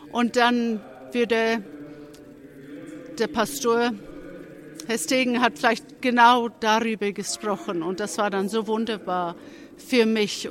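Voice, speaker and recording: A speaker with a cold giving an earnest testimony at a church service, pausing often and restarting phrases before rising to fervent emphasis. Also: medium pitch, fairly bright tone. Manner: halting, with frequent restarts and pauses. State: has a cold.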